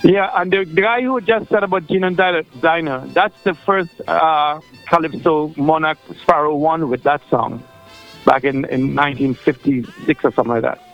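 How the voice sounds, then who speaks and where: Trinidadian man, radio caller; rambling and chatty, higher voice, muffled phone line with faint music under it. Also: normal speed. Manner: rambling, chatty, many fillers and restarts. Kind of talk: casual phone-in chat.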